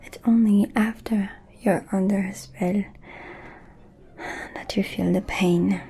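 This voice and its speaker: A woman playing a sly, gossiping aristocratic vampire in a whispered ASMR roleplay, breathy and knowing, as if sharing secrets. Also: medium pitch, steady tone, mellow. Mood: knowing, teasing. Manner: slow, knowing, conspiratorial, with lingering hums.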